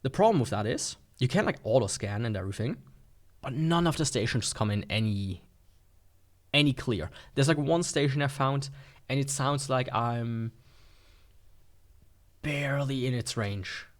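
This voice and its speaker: A man's voice: mid-range voice, animated, moderate pace, long pauses. A man giving a casual, unscripted product review in a rambling, informal, skeptical way. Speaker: gadget reviewer.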